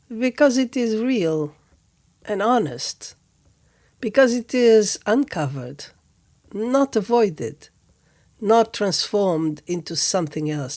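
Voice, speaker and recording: Woman talking real slow and gentle, calm spiritual reflection, lots of pauses. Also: fairly low pitch. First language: Portuguese. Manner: gentle, measured, with long pauses between phrases. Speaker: spiritual teacher, podcast host. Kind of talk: reflective contemplative talk.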